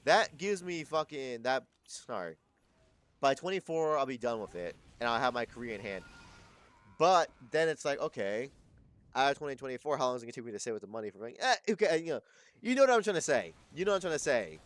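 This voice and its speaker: A man's voice: medium pitch, sing-song, a little fast, fairly bright tone. A man of twenty-two chatting casually on a live stream, rambling and correcting himself, swearing freely.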